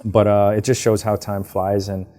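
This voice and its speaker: A young man from Hong Kong, answering interview questions in a relaxed, rambling way with frequent fillers. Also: lowish voice, normal speed. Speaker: guest, business founder. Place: outdoors, karaoke nearby.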